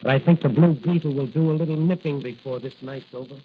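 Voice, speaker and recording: A man's voice: mid-range voice, fast, close to an everyday voice. A man plays a young masked crimefighter, brash and jovial, sure of himself. The delivery is old 1940s radio heroics. The recording is slightly muffled with faint noise beneath. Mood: cheerful, confident.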